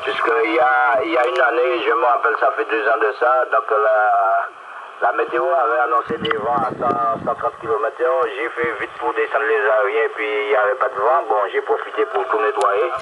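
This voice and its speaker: A man with a Martinican French accent chatting over amateur radio, repeating his phrases in an unhurried way. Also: lowish voice, gravelly. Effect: radio transmission. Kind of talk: casual radio contact chat. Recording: muffled, very noisy shortwave radio transmission. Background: loud radio noise.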